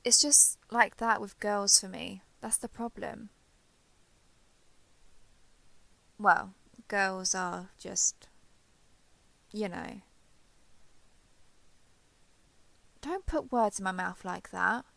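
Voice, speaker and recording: A woman playing a shy, guarded former villain turned ally in an intimate romance audio roleplay, halting, defensive and awkwardly sincere. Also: medium pitch, vocal fry, fairly bright tone, no room sound. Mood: awkward, flustered.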